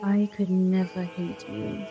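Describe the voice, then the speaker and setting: A woman acting in a gothic radio drama as an innocent, curious young gentlewoman with a hesitant, polite way of speaking. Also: on the low side, flat delivery, slow, over music. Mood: tender.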